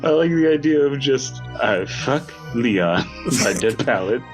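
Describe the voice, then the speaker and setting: Male co-host, average pitch, casual joking banter, music underneath. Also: lively intonation, unhurried. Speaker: podcast co-host.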